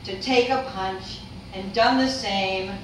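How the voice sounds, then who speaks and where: A woman performing slow rhyming verse in a staged play reading. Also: mid-range voice, a bit bright. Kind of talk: slow verse recitation, partly sung. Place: staged reading in a reverberant room, far camera. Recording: distant echoey hall, faint noise.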